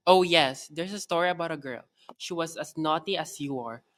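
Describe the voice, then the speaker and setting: A woman's voice: deep voice, moderate pace, a bit bright, slight background noise, pitched below the performer's own voice. A woman voicing a teasing old Filipino grandmother who tells stories soothingly, in a read-aloud children's story. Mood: spooky storytelling.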